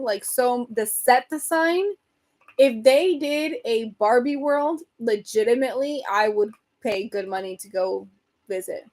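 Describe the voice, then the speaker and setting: A woman hosts a relaxed livestream, chatting loosely with her viewers and laughing easily. Her voice sits a touch high and has grown hoarse from a long stretch of talking. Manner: chatty, rambling, reads and answers comments, laughs often. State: voice getting hoarse. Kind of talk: casual livestream chat.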